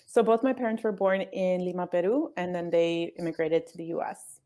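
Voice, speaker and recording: A woman's voice: on the low side, normal speed. A woman who grew up speaking Spanish first, talking in an earnest, easygoing way as a guest on a call. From United States. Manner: earnest, easygoing, with soft laughs and hedges like "I guess". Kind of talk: casual interview chat.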